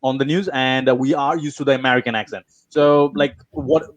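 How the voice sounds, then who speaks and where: A man hosting an interview over a video call, relaxed and encouraging with slight hesitations. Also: mid-range voice, a little fast, no echo, lo-fi sound. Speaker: podcast host. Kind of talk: conversational interview.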